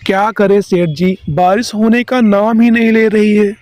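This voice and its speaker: A man's voice: sits high, steady tone, moderate pace, a little mellow, dry recording, lightly acted. A man playing a poor, hardworking village farmer in a cartoon story, earnest and plaintive. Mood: hopeful, humble.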